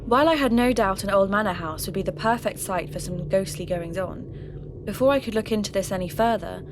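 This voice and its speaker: A woman playing a polite, curious radio host who investigates mysteries for a podcast, speaking in a measured, questioning way. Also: medium pitch, natural voice. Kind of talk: scripted narration and phone interview.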